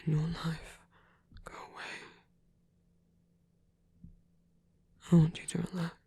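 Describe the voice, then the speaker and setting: Man playing a breathy, whispering, gentle vampire priest. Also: a little high, completely flat delivery, dry recording, full character voice. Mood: tender, protective. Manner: hesitant, halting, earnest delivery. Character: gentle, protective male vampire priest, tenderly confessing love. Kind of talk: ASMR roleplay monologue. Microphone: close microphone, dry sound.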